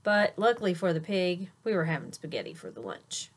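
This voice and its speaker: A woman's voice: lowish voice, sing-song, fairly quick. A woman reading a children's picture book aloud like a teacher, with dramatic delivery and chatty asides to young listeners.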